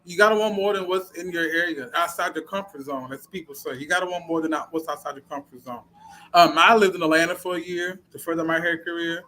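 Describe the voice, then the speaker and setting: A man's voice: fairly high pitch, steady tone, fast talker, sharp tone, a little hiss or hum, rough recording. A man speaking as an interview guest in casual, informal conversation, repeating phrases for emphasis.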